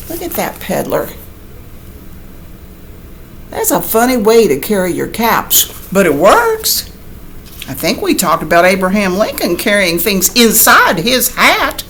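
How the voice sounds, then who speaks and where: A woman's voice: medium pitch, fairly bright tone, a little hiss or hum. A woman reading a picture book aloud like a teacher, expressively, with chatty asides and questions to young listeners. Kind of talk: animated children's read-aloud with chatty asides.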